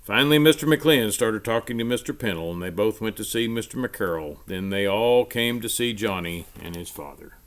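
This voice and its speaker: A man reading a children's storybook aloud in an expressive, emphatic storytelling manner. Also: on the low side, moderate pace, few pauses.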